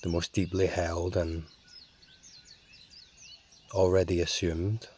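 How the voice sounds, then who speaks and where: A man guiding a meditation in a calm, measured way with long pauses. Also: sits low, breathy edge. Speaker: meditation guide. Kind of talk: slow guided meditation.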